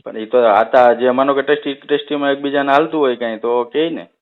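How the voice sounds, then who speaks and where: A man's voice: medium pitch, quick pace, smooth. A man with a rural Saurashtra Gujarati accent in a casual phone conversation, explaining things and often checking for agreement. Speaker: village head on a phone call. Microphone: telephone. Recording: tinny narrowband phone call recording.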